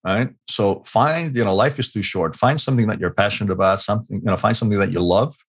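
A man's voice: lowish voice, quick pace, breathy edge. A middle-aged man from Hungary, a guest on an interview show, telling a story in a loose conversational way with lots of "you know" and restarts. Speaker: guest, leadership expert.

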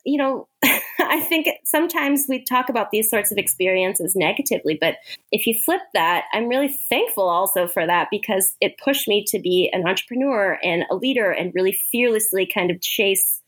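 A woman's voice: mid-range voice, animated, normal speed, a bit bright. An American woman talking casually as an interview guest, chatty and often laughing as she speaks. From Hawaii, United States. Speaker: interview guest, author and women's travel entrepreneur.

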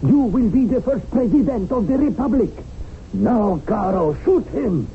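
An actor playing a ruthless, zealous revolutionary in a radio drama, commanding and cold in manner. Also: male voice, sits high, lively intonation, a little slow. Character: ruthless, zealous male revolutionary terrorist plotting executions. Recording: muffled, hissy old radio broadcast.

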